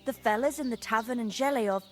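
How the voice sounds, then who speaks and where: A woman's voice: fairly high pitch, a little fast, faint music behind, lightly acted. An actress playing a kind, practical young village woman in a video game, with folksy, down-to-earth speech. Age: young adult. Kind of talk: video game cutscene dialogue.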